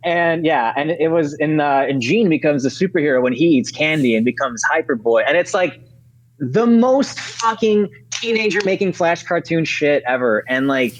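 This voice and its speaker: A man's voice: on the high side, expressive, normal speed. A man in his thirties, originally from Ukraine, chatting casually as a podcast guest, rambling with many fillers and restarts. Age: 30s. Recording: dull lo-fi audio.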